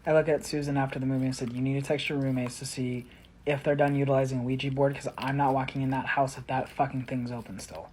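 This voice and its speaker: A woman's voice: very deep voice, level tone, fast, darkish tone, slightly rough. A woman telling a casual first-person story in a blunt, sweary, exasperated manner, acting out the dialogue.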